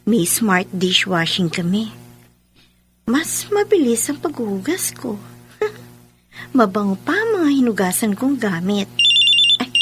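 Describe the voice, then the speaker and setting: An actress playing a dutiful, chatty young woman in a Filipino radio drama, with expressive delivery. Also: a little high. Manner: expressive, talking aloud to herself.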